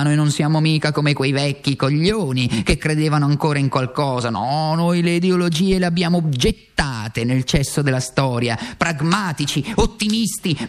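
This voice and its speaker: A man's voice: a little high, lightly acted. A male stage actor playing a bitter, worn-out communist in a theatrical radio monologue, impassioned and sarcastic, swinging between muttering and crying out. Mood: scornful. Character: desperate, bitter, worn-out communist man ranting.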